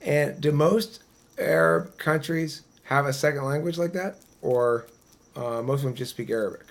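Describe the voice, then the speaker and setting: A man's voice: on the high side, normal speed, gravelly. A man speaks in a casual chat, opinionated and easygoing, and he swears freely. He argues his views in a loose, rambling way. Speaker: debater in conversation.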